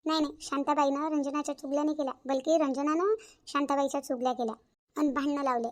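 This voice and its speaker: Woman voicing a high, quick-talking Varhadi village aunt. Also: fairly even intonation, natural voice. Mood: emphatic, indignant. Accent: Varhadi Marathi from Vidarbha. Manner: emphatic, repetitive, colloquial. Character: outspoken village aunt, sister-in-law, frank peacemaker. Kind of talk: cartoon family drama acting.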